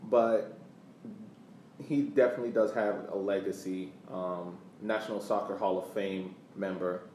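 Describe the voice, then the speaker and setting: A man co-hosting a sports podcast with casual commentary, deliberately repeating words for emphasis. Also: sits low, steady tone, darkish tone. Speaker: podcast co-host. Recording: echoey room, distant mic, faint noise.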